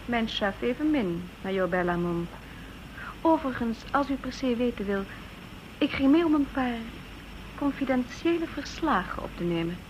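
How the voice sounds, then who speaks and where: An actress playing a composed, discreet secretary in a radio drama, formal, reserved and pointed, on a dull, noisy old recording. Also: female voice, fairly high pitch, lively intonation, moderate pace, natural voice. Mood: cool, formal. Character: composed, discreet female secretary, probing and stubborn.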